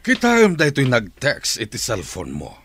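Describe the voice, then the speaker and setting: Radio drama patriarch: stern, commanding, sharp and animated, dry sound, faint music behind. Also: male voice, mid-range voice, moderate pace, husky, dry recording, heavily acted. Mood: stern, urgent. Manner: commanding, forceful. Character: stern, hot-tempered father demanding answers.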